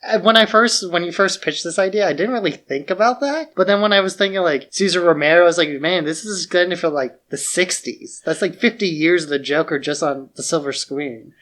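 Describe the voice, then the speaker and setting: A man's voice: high-pitched, a little fast, hardly any pauses, fairly bright tone. A man talking in casual chat as the co-host of a podcast.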